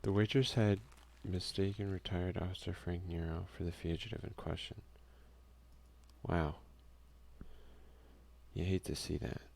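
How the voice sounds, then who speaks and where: A man softly giving casual ASMR let's play commentary, muttering offhand asides and reading game text aloud. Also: low-pitched, level tone, measured pace, gravelly, long pauses, slight background noise. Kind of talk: ASMR gaming commentary, soft-spoken and casual.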